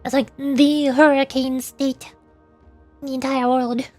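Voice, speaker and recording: A woman's voice: sits high, flat delivery, measured pace, a little mellow, faint music behind. A woman streaming as a VTuber, chatting casually in a playful, rambling way during a game livestream.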